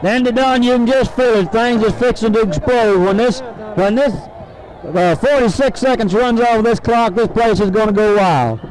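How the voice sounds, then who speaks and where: Male football color man, Southern US accent, really high pitch, colloquial and emphatic, old AM radio broadcast. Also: normal speed, a little mellow, a little hiss or hum, poor audio. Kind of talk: live football color commentary. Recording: 1970s AM radio sports broadcast.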